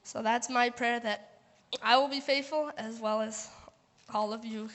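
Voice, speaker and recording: A woman's voice: on the high side, fairly even intonation, a little slow, fairly bright tone. A woman closing a personal testimony, earnestly reading scripture and quotes aloud. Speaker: student giving a testimony. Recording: long hall reverb.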